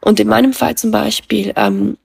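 A middle-aged woman whose native language is Russian, a guest in a casual conversation, thinking aloud with many pauses and fillers. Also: mid-range voice, very animated, gravelly, darkish tone, slightly rough, dry recording, clean studio sound. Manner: thoughtful, many hesitations and fillers.

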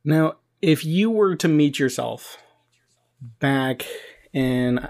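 A man hosting a casual podcast interview, speaking haltingly and pausing partway through his questions. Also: on the high side, lively intonation, darkish tone.

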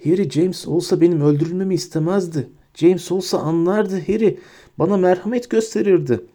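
A man voicing a sniveling, terrified middle-aged traitor who begs and stammers in a high, whining voice. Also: a little fast, dark tone. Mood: pleading.

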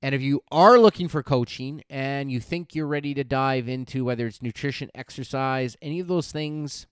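A man's voice: medium pitch, moderate pace, clear, resonant tone, no echo. A man hosting a fitness podcast and livestream, talking loosely and encouragingly, with many fillers and restarts. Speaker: podcast host and fitness coach. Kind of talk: casual podcast and livestream wrap-up.

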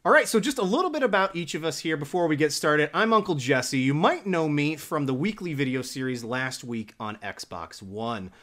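A man's voice: fairly high pitch, expressive, moderate pace, few pauses, a bit bright. A man hosting a casual gaming podcast, upbeat and enthusiastic, a dad in his thirties. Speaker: podcast host.

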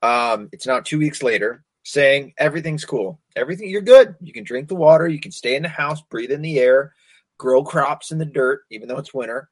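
A man's voice: medium pitch, brisk, dry recording. American guy ranting and cussing nonstop, sarcastic, trips over words, dry close sound. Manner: swears constantly, sarcastic, stumbling over words. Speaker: podcast host. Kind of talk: casual profane rant.

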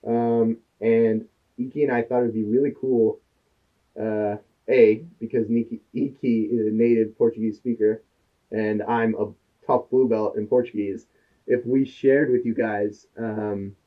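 A man's voice: lowish voice, little pitch movement, unhurried. A man co-hosting a podcast in casual chat, telling anecdotes and quoting others as he goes. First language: English. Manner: chatty, tells anecdotes, quotes others in his stories. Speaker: podcast co-host. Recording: tinny phone-like sound.

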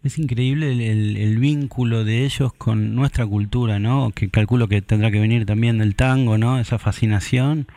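A man with a Buenos Aires accent hosting a radio interview, friendly and encouraging with quick affirmations. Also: mid-range voice, steady tone, mellow, dry recording. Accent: Buenos Aires Spanish. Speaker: radio host.

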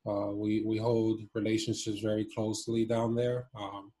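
A man in his twenties from Cuba and Miami, chatting casually and thoughtfully as an interview guest, pausing as he searches for words. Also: lowish voice, level tone, dark tone. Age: 20s. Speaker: interview guest, former basketball player. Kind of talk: casual reflective interview chat.

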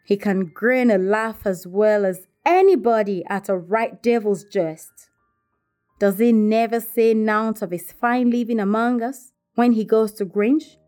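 Audiobook role: gloating rustic old manservant, preachy, slow drawl. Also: female voice, on the high side, a little mellow.

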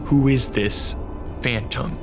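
An actor playing a composed, formal vampire count in a radio drama. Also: male voice, medium pitch, steady tone, takes it slowly, music in the background, lightly acted. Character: Dracula, calm aristocratic male vampire count.

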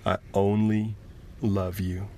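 Man doing a calm loving storybook mother, low, quiet and slow. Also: slight background noise, heavily acted. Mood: gentle. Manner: hushed, gentle.

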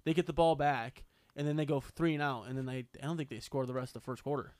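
A man co-hosting a casual chat, his voice hoarse and a little sore from yelling. He rambles excitably, with lots of fillers. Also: mid-range voice, quick pace, dry recording. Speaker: podcast co-host.

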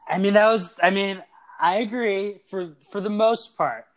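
A man in his twenties hosting a casual sports podcast, energetic and teasing, with quick asides. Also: high-pitched, low-quality recording. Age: 20s. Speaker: podcast host.

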